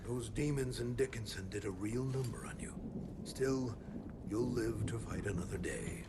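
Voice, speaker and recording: An actor playing a kindly older frontier priest in a video game cutscene, gentle, folksy and unhurried in a preacherly way. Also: male voice, mid-range voice, heavy background noise, natural voice. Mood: gentle, consoling.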